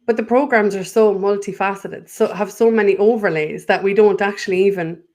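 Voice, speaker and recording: A woman's voice: medium pitch, steady tone, fairly quick, a little mellow. Earnest Irish woman in spiritual talk. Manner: earnest, searching, breaks off and restarts. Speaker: guest. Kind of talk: spiritual conversation.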